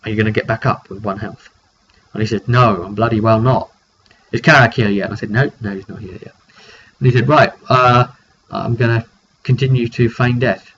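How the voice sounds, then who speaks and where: A man's voice: fairly low pitch, fairly even intonation, fairly quick, a bit bright. British man, dull noisy recording, recounting a game. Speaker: game master recounting a tabletop roleplay session. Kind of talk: casual unscripted recap to camera. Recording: dull lo-fi sound with clear background noise.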